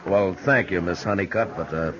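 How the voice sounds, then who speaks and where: An actor playing a steady, courteous Old West marshal in a 1950s radio drama, speaking in a measured, politely hesitant way. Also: male voice, deep voice. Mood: polite, easygoing. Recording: dull, noisy vintage radio recording.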